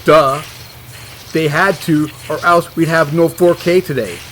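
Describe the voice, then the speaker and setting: A man's voice: fairly high pitch, steady tone, unhurried, a bit bright, slight background noise. A man playing a fussy, stubborn and rather dim monster hunter in a comedy audio drama. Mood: fussy, matter-of-fact. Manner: defensive, obtuse, matter-of-fact.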